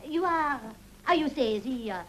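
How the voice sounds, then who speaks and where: Flamboyant showgirl; high, sing-song, theatrical. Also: moderate pace, a little hiss or hum. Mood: flirtatious. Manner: excitable, dramatic, laughing, mixes French phrases.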